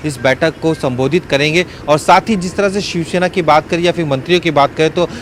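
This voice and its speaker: A man giving a live, unscripted news report from location, in a halting way with self-corrections. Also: fairly high pitch. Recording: faint outdoor street and traffic noise.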